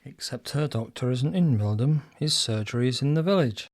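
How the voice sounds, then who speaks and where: A man's voice: medium pitch, animated, normal speed, a little mellow. A man playing a fussy, anxious, mildly pompous shop supervisor in an audio drama comedy, speaking earnestly and fretfully. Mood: worried.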